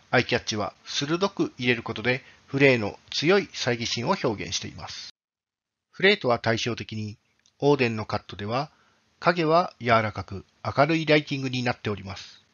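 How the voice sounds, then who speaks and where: A man's voice: mid-range voice, fairly bright tone. Formal male presenter, slightly dull sound. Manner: formal, explanatory. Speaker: presenter, game developer. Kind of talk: scripted technical presentation.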